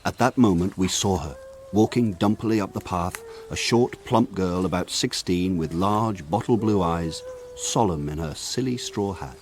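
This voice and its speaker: An actor playing a grown man who narrates his rough village boyhood in a measured, wry radio drama style. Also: sits low. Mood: wry, reflective. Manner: measured, wry, lyrical phrasing. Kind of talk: scripted radio drama narration, literary storytelling.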